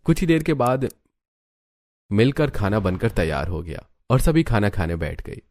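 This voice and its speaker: Male narrator, low register, scripted storytelling for a family cartoon. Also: very animated, normal speed, darkish tone.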